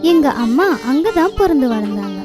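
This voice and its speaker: Woman doing a young cartoon wife, very high voice, lively. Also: a little fast. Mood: storytelling. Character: young wife Simran, cheerful and chatty. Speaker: storyteller within the cartoon. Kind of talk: Tamil cartoon dub. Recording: music bed behind.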